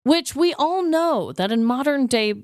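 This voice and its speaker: A woman's voice: high-pitched, expressive, unhurried, a little mellow, no echo, clean studio sound. A woman co-hosting a podcast in casual, jokey, informal conversation, telling stories for comedy. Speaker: podcast co-host. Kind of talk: casual comedic storytelling chat.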